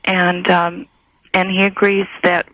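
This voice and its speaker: A woman's voice: fairly low pitch, little pitch movement, slow. A woman calling in to a radio show, explaining her situation in unscripted conversation. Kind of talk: phone-in conversation. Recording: dull telephone line on roomy radio tape.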